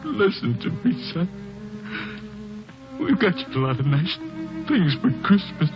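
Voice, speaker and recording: A man's voice: high-pitched, sing-song, over music. An actor playing a middle-aged father breaking down over his dead son, stammering and sobbing in 1940s radio acting style. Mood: grieving. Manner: stammering, pleading, halting. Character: grief-stricken father whose young son is dead. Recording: dull old radio broadcast.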